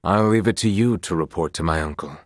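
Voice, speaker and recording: An actor playing an earnest, honorable young knight in a fantasy video game, speaking in formal, archaic, measured phrases. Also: male voice, low voice, dry recording, studio quality, lightly acted. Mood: composed. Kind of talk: dramatic video game voice acting.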